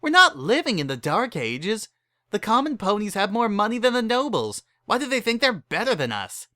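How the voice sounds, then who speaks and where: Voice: medium pitch, sing-song, moderate pace, bright, forceful tone, dry recording, clean studio sound, voice pushed up. A narrator voicing a feisty, laid-back drinking mare pony with a blunt, indignant way of talking.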